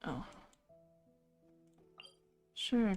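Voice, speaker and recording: A woman giving casual, dry, deadpan commentary as she streams a video game. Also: extremely slow. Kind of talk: casual commentary over gameplay. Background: game music.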